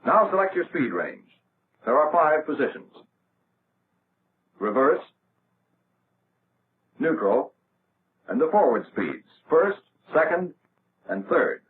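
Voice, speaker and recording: An American man narrating a 1940s military training film in a measured, instructional way, as a scripted voice-over. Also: fairly high pitch, very animated. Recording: tinny, band-limited, noisy old film soundtrack.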